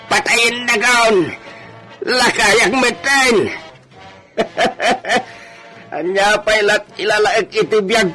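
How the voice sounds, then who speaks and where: Anguished, high-voiced defiant old captive. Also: male voice, sharp tone, heavily acted. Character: defiant old man in his eighties, held captive. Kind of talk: radio drama acting.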